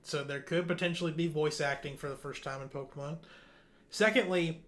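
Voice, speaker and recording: A man's voice: fairly high pitch, fairly even intonation, a little fast, fairly bright tone. A man hosting a casual gaming news discussion, talking bluntly and informally, with some loose swearing. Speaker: gaming podcast host.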